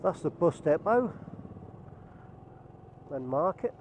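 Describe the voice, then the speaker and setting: A man's voice: a little high, big pitch swings, slow, a little mellow. A man, chatting casually and dryly about the roads as he rides a motorbike. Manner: chatty, dry, self-mocking asides. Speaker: motovlogger. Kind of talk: casual running commentary while riding. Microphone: helmet camera mic. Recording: muffled helmet-cam audio with road noise.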